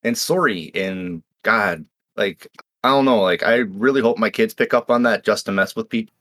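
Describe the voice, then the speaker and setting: A man's voice: lowish voice, normal speed, a bit bright, dry recording. A man from Nova Scotia with a Canadian accent, chatting casually and swearing freely as a cast member of a game podcast. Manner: laid-back, swears freely, tangents. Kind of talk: casual chat.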